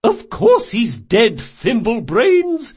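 Man putting on a fierce, shrill old grandmother. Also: huge pitch swings, slow, darkish tone, breathy edge, full character voice. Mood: furious, commanding. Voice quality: strong carrying voice. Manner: emphatic, commanding. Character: bossy, fierce old grandmother shopkeeper with motherly streak.

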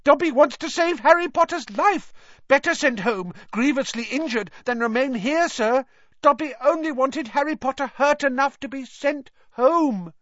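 A narrator voicing a small, servile male house elf from a storybook, squeaky, anxious and deferential, pleading in the third person. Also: normal speed, a bit bright, dry recording, lo-fi sound. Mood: earnest, pleading.

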